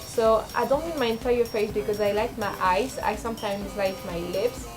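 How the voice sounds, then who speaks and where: A young woman with a low speaking voice, rambling and self-deprecating in a casual confessional vlog, full of fillers and restarts. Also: moderate pace, music in the background. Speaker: vlogger.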